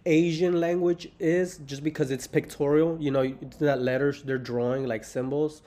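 A man in his thirties from the United States, hosting a podcast in relaxed, rambling casual chat and swearing casually. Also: a little high, normal speed. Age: 30s. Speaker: podcast host. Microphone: remote call.

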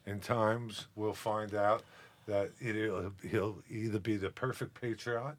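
A man's voice: fairly low pitch, steady tone, slow. A man bantering and debating casually as a panelist, cutting in and repeating short phrases. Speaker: talk show panelist.

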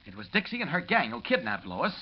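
A man's voice: mid-range voice, huge pitch swings, quick pace, slightly rough. An actor playing an earnest, insistent male newspaper reporter, urgent and persuasive in 1940s radio drama style. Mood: earnest, intent. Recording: dull old radio recording.

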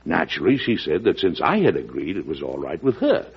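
An actor with an American accent playing a shrewd, genial, avuncular president in an old-time radio drama, in a deliberate storytelling manner. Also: male voice, deep voice, wildly swooping pitch, slightly rough, heavily acted. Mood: wry, confiding. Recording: dull lo-fi vintage radio broadcast.

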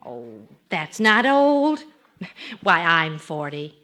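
An actress playing a sensible wife of about forty, scolding yet devoted, in an old radio sitcom. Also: female voice, fairly low pitch, big pitch swings, drawn-out words, sharp tone, full character voice. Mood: soothing. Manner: motherly, reproving, coaxing. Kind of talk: 1940s-50s radio sitcom acting. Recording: vintage radio show recording.

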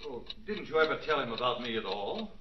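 An actor playing a gloomy, self-blaming fugitive brother in a 1940s radio drama, sounding resigned. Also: male voice, medium pitch, very animated, a little fast, lo-fi sound, lightly acted. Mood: glum. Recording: very echoey, noisy, distant 1940s radio recording.

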